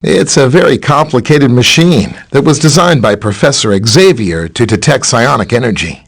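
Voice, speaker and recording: A man playing a friendly, helpful scientist superhero in a video game, with a chatty, polite, explanatory way of talking and a slightly husky voice. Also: mid-range voice, expressive, normal speed. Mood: explanatory, earnest. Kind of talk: scripted video game character dialogue.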